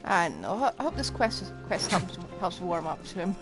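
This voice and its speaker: A woman's voice: fairly low pitch, big pitch swings, normal speed, vocal fry, low-quality recording. A woman giving casual, reactive live commentary as she streams a video game. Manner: giggly, reactive. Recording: game music behind.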